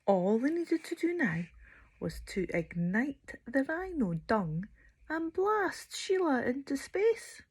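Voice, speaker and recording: Female children's storyteller: unhurried, high and theatrical, slipping between full voice and breathy hush. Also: sing-song. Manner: theatrical, drops into hushed near-whispers, draws out words. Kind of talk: expressive children's bedtime story reading.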